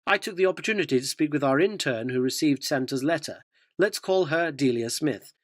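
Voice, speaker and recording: A man's voice: a little high, expressive, brisk, dry recording, close to an everyday voice. Man acting a dry, cynical reporter narrator, close-miked. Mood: sardonic, dry. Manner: wry, wordy, dryly sardonic. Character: cynical, self-important male newspaper reporter recounting a polar expedition. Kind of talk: scripted radio drama narration.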